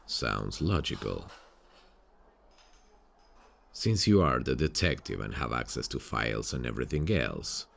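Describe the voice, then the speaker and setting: Man as deep, brooding amateur sleuth. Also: very animated, measured pace, creaky, pauses often, exaggerated voice. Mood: businesslike. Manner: low-key, brooding inner monologue and plain dialogue. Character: restless amateur sleuth working alongside a detective. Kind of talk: ASMR roleplay acting.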